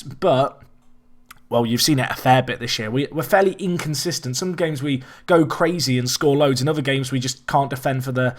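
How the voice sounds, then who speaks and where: A young man with a slightly raspy, hoarse voice, chatting casually as he commentates his own football management game, rambling and restarting and getting excited at big chances. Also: medium pitch. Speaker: let's play host.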